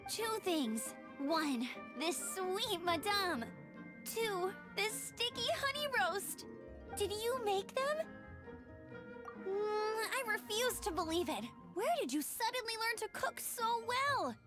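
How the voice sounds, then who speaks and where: A woman voicing an excitable, bubbly teenage girl knight in an anime game dub. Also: extremely high pitch, slow, heavily acted. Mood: gushing. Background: music.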